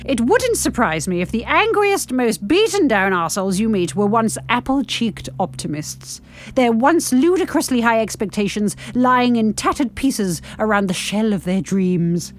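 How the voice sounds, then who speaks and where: A cantankerous older woman narrates her life with wry impatience, acted by a woman reading aloud. The voice sits fairly high and moves expressively, over faint music. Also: big pitch swings, normal speed. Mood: wry, pessimistic. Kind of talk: first-person story reading.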